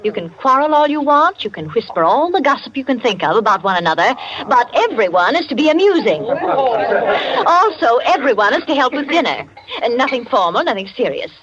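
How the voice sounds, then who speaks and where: Breezy, animated radio-drama society hostess. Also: female voice, on the high side, fairly quick. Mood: gaily commanding. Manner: breezy, theatrical, bossy. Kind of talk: old-time radio drama acting. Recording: dull old radio, music and party crowd.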